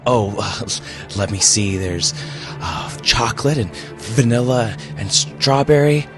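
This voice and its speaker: A man's voice: medium pitch, slow pace, music in the background, natural voice. A man voicing an anxious doctor in a horror story, with a hesitant, placating manner. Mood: nervous, coaxing. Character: anxious adult male doctor coaxing a child. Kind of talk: horror audio storybook character.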